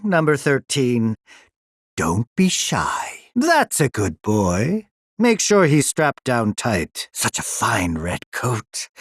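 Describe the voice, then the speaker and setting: A man playing a sinister, gleefully condescending older mad scientist in a video game, mocking and theatrically polite with drawn-out phrasing. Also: mid-range voice. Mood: gleeful cruelty. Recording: roomy echo, distant from mic.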